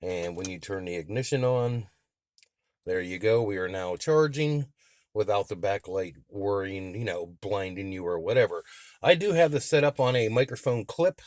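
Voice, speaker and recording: A man's voice: on the low side, darkish tone, no echo, studio quality. A man reviewing and testing a radio in a plain-spoken, explanatory way, as a hands-on demonstration.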